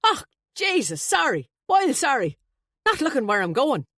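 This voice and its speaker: A woman's voice: medium pitch, animated, moderate pace, fairly bright tone, dry recording, studio quality, voice pushed up, natural voice. A woman voicing a big, jovial, chatty Irish man with a hearty, slangy, emphatic manner in an audiobook story. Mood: flustered.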